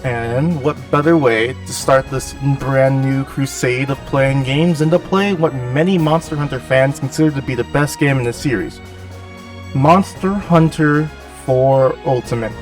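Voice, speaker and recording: A man talking through a cold, his voice nasal and a little gravelly at an ordinary middle pitch. He rambles through game commentary with jokey, self-mocking asides. The mic sits slightly distant, with faint music underneath. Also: a little slow. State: has a cold. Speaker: gaming channel host. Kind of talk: casual voice-over commentary on gameplay.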